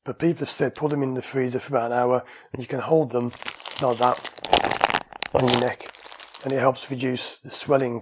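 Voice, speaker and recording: A man's voice: medium pitch, background noise. A man from the UK talking casually to camera in a vlog, chatty and rambling, often correcting himself mid-sentence. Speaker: vlogger.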